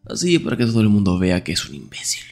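A man acting a grumpy, jealous, protective young best friend in an intimate Spanish-style ASMR roleplay, breathy and often whispering, defensive and sarcastic. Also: low-pitched, wildly swooping pitch, fast talker, darkish tone, soft background music, full character voice. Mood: defensive, teasing.

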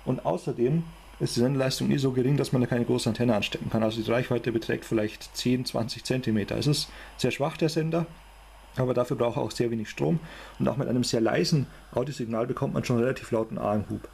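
A man's voice: medium pitch, fast talker, creaky, a little mellow, slight background noise. A man with a German accent giving an informal, matter-of-fact technical demonstration as a hobby electronics presenter.

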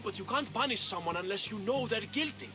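A man's voice: sits high, animated, brisk. An actor playing a wary, weary older man, a fearful former priest turned farmer, in a video game. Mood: wary, resigned. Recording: music under the voice. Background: background music.

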